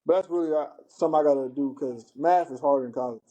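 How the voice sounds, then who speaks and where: A young college football player from Cleveland speaking haltingly, with many restarts and "you know.". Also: male voice, on the high side, warm tone, highs cut off. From Cleveland, Ohio. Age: young adult. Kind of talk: casual podcast chat.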